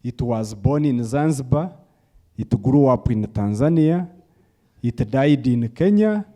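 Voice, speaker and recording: A man talks on a conference panel in an unhurried, storytelling way. His delivery is very slow and his tone is mellow. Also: medium pitch, expressive. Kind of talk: panel discussion remarks.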